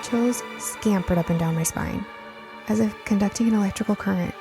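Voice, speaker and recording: A woman's voice: mid-range voice, fairly quick, music in the background. A woman narrating a scripted first-person story in the style of a nostalgic radio drama. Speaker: narrator, writer and producer of the show.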